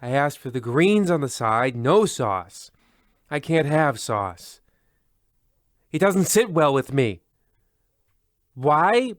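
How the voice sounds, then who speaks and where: A man's voice: a little high, big pitch swings, slow pace, long pauses, no echo, clean studio sound. A man playing a pompous, fussy host of a mock old-time radio horror play, with florid, theatrical delivery. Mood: petulant, indignant. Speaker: narrator and host.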